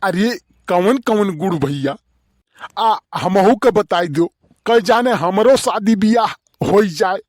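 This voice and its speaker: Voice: medium pitch, lively intonation, slow, no echo, clean studio sound. A performer voicing a nosy, gullible village bachelor in a comedy cartoon, drawling inquisitively in an Awadhi accent. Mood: eager, admiring.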